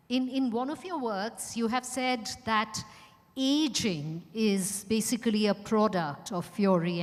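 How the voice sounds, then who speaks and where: Indian woman moderating in an echoing hall. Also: medium pitch. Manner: encouraging, prompting. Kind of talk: live event moderation, conversational. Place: large indoor event hall at a hotel. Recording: reverberant hall, amplified live event.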